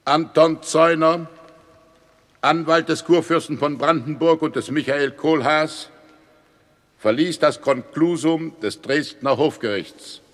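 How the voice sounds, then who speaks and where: Solemn ruling prince in a reverberant hall. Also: male voice, a little high. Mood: formal, proclaiming. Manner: stately, ceremonious, measured with weighty pauses. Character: solemn, magnanimous prince-elector of Brandenburg pronouncing judgment. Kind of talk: German radio play acting.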